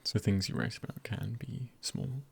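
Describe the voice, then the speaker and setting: Low, very creaky hushed man doing ASMR. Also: normal speed. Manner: hushed, often dropping into a whisper. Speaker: ASMR creator. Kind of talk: ASMR, soft-spoken encouragement.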